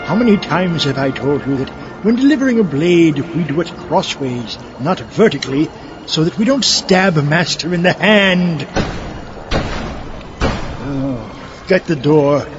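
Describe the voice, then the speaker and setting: A man's voice: a little high, expressive, measured pace, highs cut off, music in the background. A man playing an exasperated, grandiose mad scientist with a theatrical, fussy manner in a comedic audio drama. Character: exasperated grandiose mad scientist, would-be world dictator, male.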